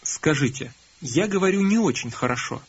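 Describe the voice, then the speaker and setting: Husky-voiced man narrating a language course. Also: a little high, normal speed. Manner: calm, prompting, instructive. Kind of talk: scripted instruction prompting learners. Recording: dull lo-fi course audio.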